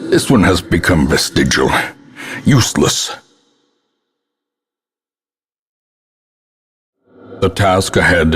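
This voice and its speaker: An actor voicing a grim, guilt-ridden old ancestor who narrates a gothic horror game in solemn, ornate, theatrical phrases with a husky voice. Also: male voice, sits low, full character voice. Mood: grave, contemptuous. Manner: solemn, ornate, deliberate dramatic delivery.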